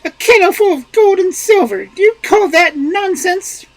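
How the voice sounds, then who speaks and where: A voice performing a nagging old peasant wife in a storybook reading, with a shrill, exclamatory manner. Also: female voice, expressive, moderate pace, no echo, poor audio. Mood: scolding.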